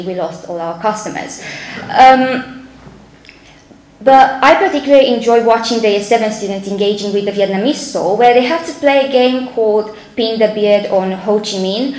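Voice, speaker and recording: A teenage girl from Romania with a Romanian accent, giving a formal, earnest speech as head girl from a school stage podium. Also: medium pitch. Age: late teens. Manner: formal, earnest, read from notes. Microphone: podium microphone. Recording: echoey hall.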